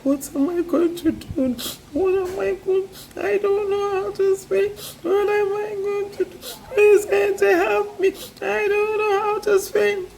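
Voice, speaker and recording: A storyteller voicing a frightened young miller's daughter who pleads in a high, wailing voice. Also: fairly even intonation, unhurried, vocal fry, a little mellow, a little hiss or hum, heavily acted. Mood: despairing.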